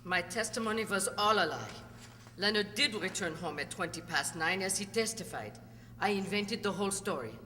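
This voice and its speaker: A woman's voice: mid-range voice, expressive, normal speed, bright, forceful tone, a little hiss or hum. An actress playing a haughty, bitter, defiant woman witness theatrically in a courtroom radio play. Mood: bitter confession. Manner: indignant, theatrical. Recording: reverberant hall.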